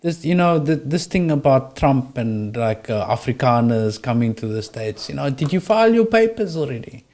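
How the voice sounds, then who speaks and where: Male interview host, informal and playful, animated intonation, stammers often. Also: mid-range voice, normal speed, hardly any pauses. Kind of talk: interview conversation.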